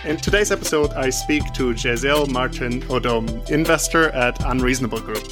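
An earnest man hosting a podcast, reading his lines in an upbeat, steady voice at a middling pitch. Clear music plays under him. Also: normal speed. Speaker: podcast host. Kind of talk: scripted ad read and interview intro.

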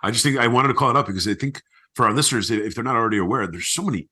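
A man's voice: mid-range voice, rapid-fire, bright, forceful tone, husky. A man hosting a podcast in casual interview chat, talking in an effusive, informal way. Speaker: podcast host.

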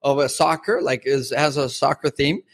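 A man's voice: mid-range voice, fairly even intonation, moderate pace, dry recording. A man whose first language is Spanish, talking as a podcast guest in a rambling, casual way with many fillers. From Mexico. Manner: rambling, lots of fillers and restarts. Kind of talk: casual interview chat.

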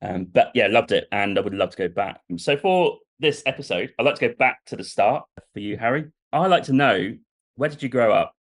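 A man's voice: mid-range voice, lively intonation, fairly quick. A man hosting a podcast, chatting casually and asking long, rambling questions. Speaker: podcast host. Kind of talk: casual interview talk.

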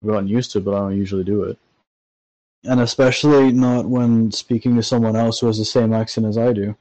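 A man's voice: lowish voice, fairly even intonation, quick pace, mellow, dry recording, poor audio. A tired man with a Trinidadian accent, talking animatedly and swearing in a casual podcast chat. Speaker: podcast co-host.

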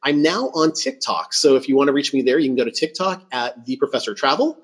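A man hosts a travel podcast in a casual interview style, upbeat and quick to laugh. His voice is a little husky and breathy, sitting at a middle pitch. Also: expressive. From California. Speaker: podcast host.

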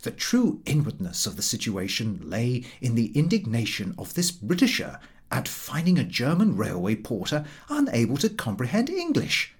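A man narrating a comic travel story as an audiobook reader, in a wry, measured and expressive way. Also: mid-range voice, slightly rough.

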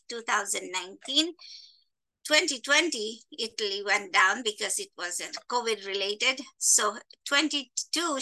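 A middle-aged woman from East Africa with a high, strikingly bright and forceful voice. She speaks as a podcast guest, telling stories and handing out advice in an emphatic, instructive way. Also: rough recording. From Kenya and Tanzania. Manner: emphatic, instructive, checks in with "right?". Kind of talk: conversational storytelling and advice.

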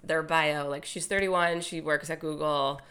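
A woman from New Jersey, chatting as a podcast guest, chatty and quick to laugh. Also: sits low, fairly even intonation, a bit bright, clean tone. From New Jersey, USA. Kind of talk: casual chat.

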